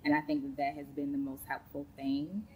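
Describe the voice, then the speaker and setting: A woman, a school counselor chatting as an interview guest, warm, with many ums. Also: sits low, little pitch movement, brisk, a little hiss or hum, low-quality recording. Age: late 30s. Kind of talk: casual interview talk. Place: large indoor sports facility studio.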